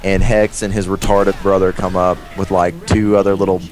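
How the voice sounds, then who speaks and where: A man's voice: sits low, normal speed. A young man telling a story casually in a rambling, profane way, acting out dialogue as he goes. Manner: rambling, profane, animated retelling with quoted dialogue. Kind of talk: casual storytelling over gameplay.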